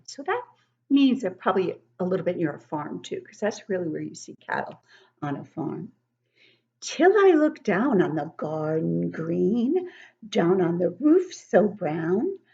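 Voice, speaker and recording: An older woman giving an interactive read-aloud lesson for young children, encouraging and expressive, asking questions and explaining things simply. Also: mid-range voice, husky, highs cut off. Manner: encouraging, asks questions and pauses to praise answers. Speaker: children's book club teacher reading poems aloud.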